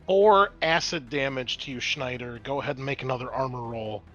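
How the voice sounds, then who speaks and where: A man with a bright, forceful voice runs a tabletop role-playing game. He moves between improvised scene narration and explaining rules. Music plays faintly behind him. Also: mid-range voice, fairly quick, highs cut off. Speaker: game master.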